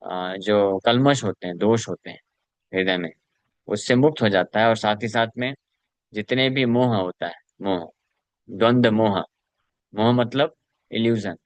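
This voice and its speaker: A man's voice: fairly low pitch, unhurried, pauses often, dry recording. A man, a spiritual teacher, giving a devotional scripture lecture in an explanatory way, mixing in English terms and reciting Sanskrit verses.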